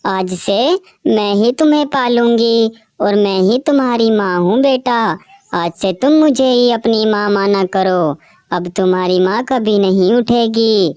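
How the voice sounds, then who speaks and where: A woman's voice: mid-range voice, measured pace, a little mellow, clean tone, no echo, close to an everyday voice. A woman voicing a caring female bird who raises her friend's orphan, emotional and outspoken. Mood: motherly. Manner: emotional, wailing, scolding. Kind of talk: cartoon voice acting.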